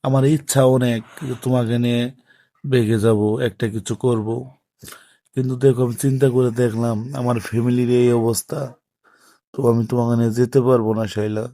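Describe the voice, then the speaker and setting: A man's voice: mid-range voice, flat delivery, fairly quick, dark tone, no echo, close to an everyday voice. A man playing a regretful young lover, pleading in a subdued way in colloquial Bangladeshi Bengali on an emotional phone-call drama.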